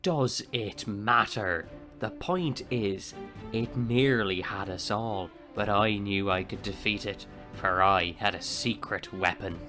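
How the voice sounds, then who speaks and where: Cocky young captain, sharp low voice, measured pompous delivery, audio drama. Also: male voice, soft background music. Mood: boastful. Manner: grandiose, pompous, dramatic storytelling. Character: boastful, swaggering young upstart pirate captain. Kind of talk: audio play acting.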